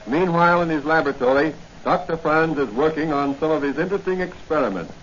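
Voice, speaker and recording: An actor narrating a radio mystery serial in a solemn, suspenseful 1940s announcer style. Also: male voice, fairly high pitch, noisy background, close to an everyday voice. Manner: dramatic, measured, suspenseful. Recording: muffled 1940 radio recording. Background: dramatic music.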